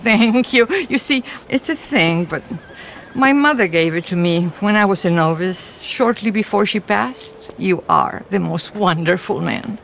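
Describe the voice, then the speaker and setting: An actress playing a gentle, grateful nun in a video game, speaking in an earnest, effusive way. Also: female voice, medium pitch, a little slow, vocal fry, a little hiss or hum. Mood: warm gratitude. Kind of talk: cinematic video game acting.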